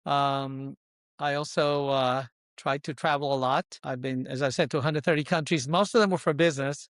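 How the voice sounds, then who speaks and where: A man in his sixties from Colombia, answering interview questions in deliberate short phrases that often list things. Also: on the high side, clear, resonant tone, no echo, studio quality. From born in Colombia, immigrated as a small boy. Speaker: podcast guest, luxury consultant and investor.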